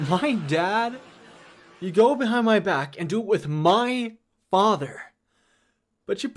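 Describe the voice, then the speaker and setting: A man acting as a whiny, overdramatic, betrayed man in a television show within an audio drama. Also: high-pitched.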